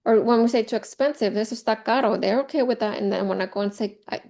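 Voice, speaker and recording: A woman's voice: on the high side, quick pace, creaky, darkish tone. Woman trainer with a Spanish accent. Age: 40s. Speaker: teacher trainer leading a professional development session. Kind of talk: informal presentation to teachers.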